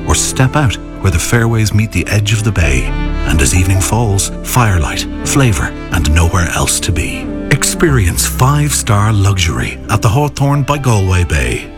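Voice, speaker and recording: Soothing low male hotel-ad narrator. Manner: slow and soothing. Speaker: advert voice-over. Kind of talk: smooth luxury ad narration.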